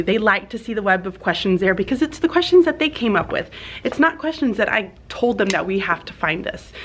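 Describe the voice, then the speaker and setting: A woman's voice: mid-range voice, a little hiss or hum. A young woman teacher talking casually to her handheld camera in a classroom, upbeat and chatty, her voice a bit stuffy from sinuses. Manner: chatty, upbeat, says "y'all" and "like" often. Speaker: elementary school teacher. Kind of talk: casual vlog talk to camera. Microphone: handheld camera, no tripod.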